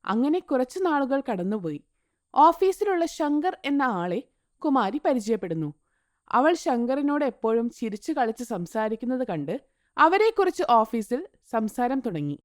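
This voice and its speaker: A woman narrating a scripted moral story in an expressive, sing-song way. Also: a little high, moderate pace, no room sound, clean studio sound.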